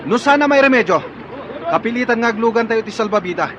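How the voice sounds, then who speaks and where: A man's voice: very high voice, expressive, fast, dull audio, a crowd in the background, background noise. An actor with an Ilocano accent playing an agitated, quarrelsome man in an emergency, urgent and argumentative, in a radio drama.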